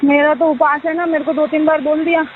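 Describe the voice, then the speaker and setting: A woman's voice: sits high, steady tone, fast, slight background noise. A young woman, tired and out of breath, chatting casually with family on a recorded phone call. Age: young adult. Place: outdoors, on a phone while climbing.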